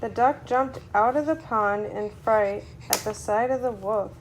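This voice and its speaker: A woman reading a children's story aloud to a small child in an expressive way, putting on voices for the characters. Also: on the high side, unhurried, noisy background.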